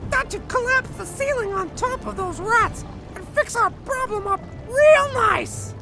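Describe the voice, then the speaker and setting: A squeaky little goblin sapper. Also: female voice, measured pace, music in the background. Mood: gleeful. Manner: squeaky and gleeful. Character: excitable little goblin sapper, explosive-happy.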